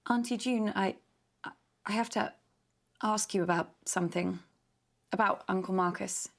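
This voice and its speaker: A woman's voice: medium pitch, unhurried, vocal fry. A young woman playing an earnest, curious niece, speaking hesitantly in an interactive mystery film. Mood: uneasy, probing. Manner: hesitant, questioning, understated. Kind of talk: naturalistic screen acting in an interactive mystery film.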